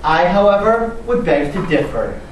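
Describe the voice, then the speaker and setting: Ensemble stage storyteller, declamatory, medium pitch, captured in a hugely echoing hall. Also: animated, moderate pace. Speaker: readers theatre performer. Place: large indoor performance space, far mic. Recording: echoey hall from far off, noisy.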